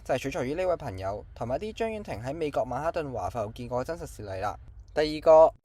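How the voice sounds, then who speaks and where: A man's voice: a little high, a little mellow. A man with a Hong Kong Cantonese accent presents scripted film trivia as an online channel host.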